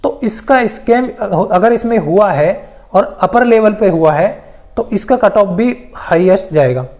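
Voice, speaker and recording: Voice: medium pitch, lively intonation, a little slow, a little mellow. A presenter giving an informal, emphatic explainer to exam candidates, repeating key points and mixing in English words. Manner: repetitive, emphatic explaining with English loanwords mixed in. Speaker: presenter of exam news updates.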